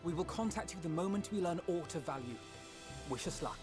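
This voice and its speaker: A man's voice: on the high side, animated, fairly quick, lightly acted. An actor playing a solemn, determined young man in a fantasy game, using formal, archaic diction. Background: game music.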